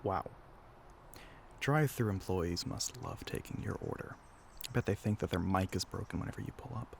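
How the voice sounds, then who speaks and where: A man playing a low-voiced, teasing young tour guide. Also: moderate pace, gravelly, slight background noise. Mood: teasing, sardonic. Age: young adult. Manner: casual, chatty, teasing, sighs between remarks. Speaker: audio roleplay voice actor. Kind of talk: scripted roleplay addressed to the listener.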